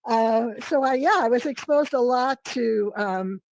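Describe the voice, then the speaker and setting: A middle-aged woman, hoarse from a cold, talking in a rambling way with many fillers as a guest on a video call. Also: on the high side, measured pace, darkish tone, no echo. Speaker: guest artist. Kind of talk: casual interview chat.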